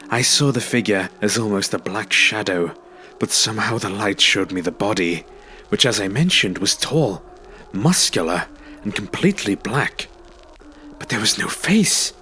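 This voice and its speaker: A man with a low voice narrates a submitted ghost story. He reads the first-person account as a script, with faint music underneath. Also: sharp tone. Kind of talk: scripted narration of scary stories.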